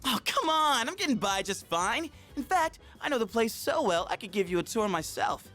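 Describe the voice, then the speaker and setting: A man's voice: very high voice, sing-song, moderate pace, pushed and bright, soft background music, heavily acted. A man voicing a cocky, goofy teenage boy in a game, slangy and brash. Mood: breezy, cocky. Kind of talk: game voice acting.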